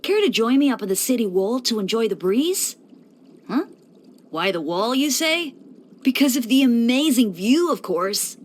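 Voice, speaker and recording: A woman's voice: a little high, sing-song, normal speed. A woman voicing a playful young male church deacon in a video game, breezy and teasing with theatrical mock solemnity. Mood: breezy, friendly. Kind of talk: scripted video game character voice lines.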